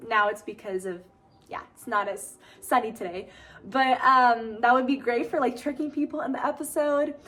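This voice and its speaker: A woman's voice: a little high, normal speed, a bit bright, roomy sound. A young woman from Brazil, Portuguese her first language, chatting casually to camera and telling a story with lots of "like". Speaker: vlogger.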